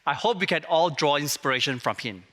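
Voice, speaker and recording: Bright-voiced male host in a hall. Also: a little high, expressive. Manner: measured and formal. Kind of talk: scripted closing remarks. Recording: long hall reverb.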